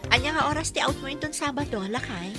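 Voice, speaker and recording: A woman's voice: high-pitched, expressive, fast. A woman playing a dutiful, caring young wife and mother in a radio drama, with a wistful streak. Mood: practical, planning. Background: music.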